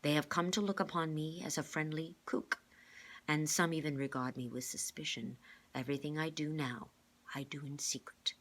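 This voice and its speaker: A woman voicing a grave, gentle older male mentor with a measured, formal way of speaking, reading a children's storybook aloud. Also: deep voice, steady tone. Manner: measured, formal, careful wording.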